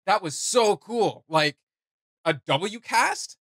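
Bright-voiced young man chatting casually. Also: high-pitched, animated, takes it slowly, dry recording, studio quality. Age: young adult. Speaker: reaction video host. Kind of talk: casual reaction chat. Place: small indoor room with gaming setup.

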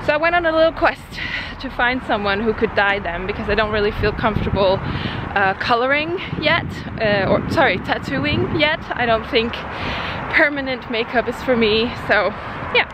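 A young woman speaking in a slightly high voice, chatting casually to a camera at arm's length. She hesitates often, filling gaps with ums and likes. Loud city traffic noise surrounds her outdoors. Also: expressive, moderate pace, muffled recording. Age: young adult. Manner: chatty, hesitant, many fillers. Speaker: vlogger. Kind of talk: casual vlog talk to camera. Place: outdoors in a city, under a bridge. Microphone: handheld camera at arm's length.

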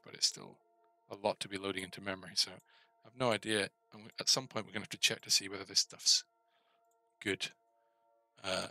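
Middle-aged man, bright low voice, casual tutorial style, musing, self-questioning. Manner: musing, asks himself questions. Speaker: game developer presenting a coding series. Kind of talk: thinking aloud while coding, casual tutorial.

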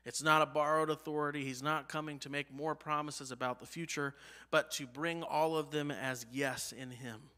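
A man in his thirties with an American accent, preaching a sermon from a church pulpit. He lifts his voice on key phrases and lets line endings fall low and soft. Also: fairly even intonation, normal speed. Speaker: pastor. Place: church sanctuary, behind a pulpit. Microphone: pulpit mic, medium-wide camera.

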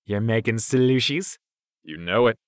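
Guy doing a loud bro-ish big brother in his late thirties, jokey, audiobook, pitched up from his own voice. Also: animated, a little slow, no echo, studio quality. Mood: upbeat. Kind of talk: audiobook character acting.

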